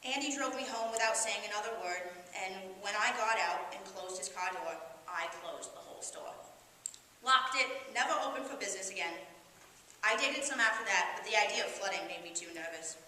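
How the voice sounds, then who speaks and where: A woman's voice: mid-range voice, fairly quick, bright, forceful tone, close to an everyday voice. A woman on stage playing an embarrassed, evasive woman in her seventies, reminiscing in a flustered, confiding monologue. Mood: embarrassed, regretful. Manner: flustered, confiding, comically reluctant. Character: embarrassed, evasive, reminiscing seventy-two-year-old New York woman. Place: live stage in a hall, far mic. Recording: distant, echoing hall, faint noise.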